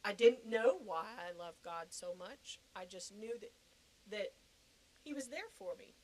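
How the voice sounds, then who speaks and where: A woman's voice: mid-range voice, expressive, a little slow, a little mellow, poor audio. Earnest woman telling her personal story. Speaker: podcast guest giving personal testimony. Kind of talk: personal testimony, conversational storytelling.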